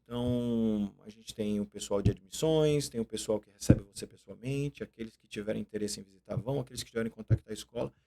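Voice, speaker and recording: Brazilian man, guest school owner, low voice, rapid upbeat pitch. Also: vocal fry, crowd noise. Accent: Brazilian Portuguese. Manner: promotional, upbeat. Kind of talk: casual interview chat.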